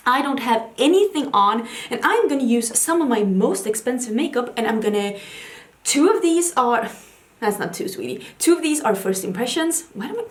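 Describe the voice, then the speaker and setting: A young woman with a Swedish accent chats away at her makeup station, rambling with giggles and little self-teasing asides. Her voice sits fairly high, and the room around her rings with echo. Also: animated, normal speed. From Sweden. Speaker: beauty vlogger. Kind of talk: casual get-ready-with-me chat. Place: home makeup station, indoors.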